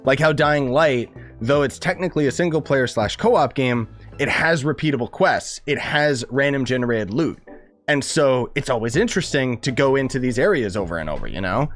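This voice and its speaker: Male streamer, medium pitch, informal off-the-cuff gaming commentary, voice tired from coughing, music underneath. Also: animated, moderate pace. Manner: informal, rambling, gamer slang. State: losing voice, coughing. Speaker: game live streamer.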